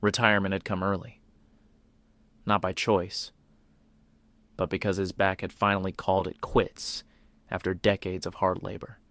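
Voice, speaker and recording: A man narrating a scripted suspense story in a brooding, deliberate manner, with short dramatic phrases. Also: low-pitched, flat delivery, fairly bright tone, dry recording.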